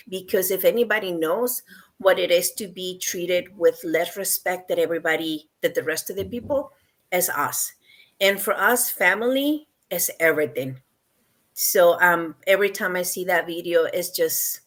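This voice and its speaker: A woman's voice: lowish voice, unhurried, a bit bright. A woman from Mexico with a Mexican accent, a parent guest on a panel, speaking earnestly and emotionally with many ums and repeated phrases. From Mexico, El Bajío region. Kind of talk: heartfelt panel discussion.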